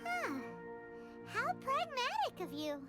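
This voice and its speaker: Super high squeaky little fairy girl, bubbly and dramatic game companion, loud music behind. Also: wildly swooping pitch, slow pace, exaggerated voice. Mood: curious, chirpy. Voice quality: squeaky, childlike. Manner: bubbly, dramatic, speaks of herself in third person. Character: tiny excitable female fairy companion, childlike and cheeky. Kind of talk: scripted video game dialogue. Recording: loud background music under the voice.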